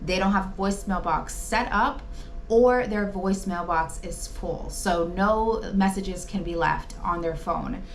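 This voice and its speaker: A woman's voice: medium pitch, unhurried, slight background noise. A woman presenting an informal training talk, advising in an emphatic, conversational way. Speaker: presenter giving training tips to insurance agents.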